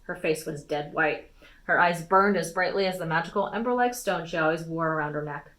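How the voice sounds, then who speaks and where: A woman reading a fantasy novel aloud as an expressive storytime narration. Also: deep voice, a little fast, poor audio. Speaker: storytime reader.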